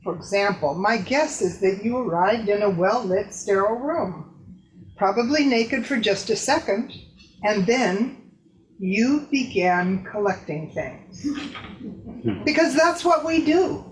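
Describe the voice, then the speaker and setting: A woman reading a literary piece deliberately, pausing between phrases. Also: mid-range voice, animated, low-quality recording. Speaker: literary reader. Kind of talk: staged reading of a personal essay.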